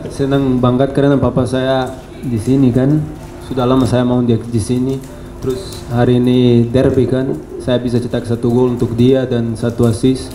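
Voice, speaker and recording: A man's voice: medium pitch, monotone, brisk, dark tone, a little hiss or hum, rough recording. A young man, a football player, giving casual remarks into a handheld microphone after a match. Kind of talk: casual post-match press conference remarks. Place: indoor press conference room.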